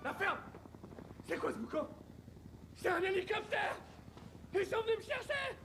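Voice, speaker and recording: A very high, strained male voice for a cowardly, foul-mouthed children's entertainer in a film. He is melodramatic and crude, full of self-pity. Clear noise sits behind him. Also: very animated, moderate pace. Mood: frantic, terrified. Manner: frantic, crude, melodramatic, prone to yelling.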